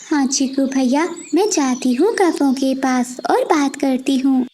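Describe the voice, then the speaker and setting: A woman's voice: high-pitched, unhurried, dark tone, slight background noise. A woman voicing a kind little female sparrow, earnest and pleading, in a children's cartoon. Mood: concerned. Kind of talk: animated children's moral story dialogue.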